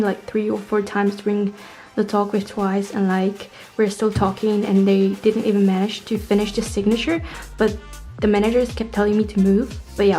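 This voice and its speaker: Young woman from poland vlogging, english, chatty and informal, relaxed mellow voice, bit of music behind. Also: mid-range voice. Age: young adult. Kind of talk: casual storytelling to camera.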